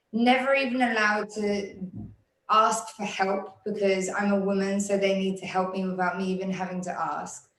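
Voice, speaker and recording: A woman speaking as an artist on a panel at a live event, in an earnest and steady way. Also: mid-range voice, roomy sound, low-quality recording.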